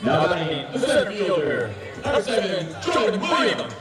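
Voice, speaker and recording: A man announcing batters over a stadium public address system, stretching out each name slowly. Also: high voice, sing-song. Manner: slow, drawn-out batter introductions. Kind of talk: ballpark PA announcement. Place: ballpark loudspeakers. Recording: roomy PA from far off.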